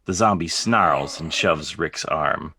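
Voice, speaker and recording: A man's voice: very deep voice, takes it slowly, sharp tone. A man reading stage directions as scripted, present-tense narration for a table read. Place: remote video call table read, dry sound.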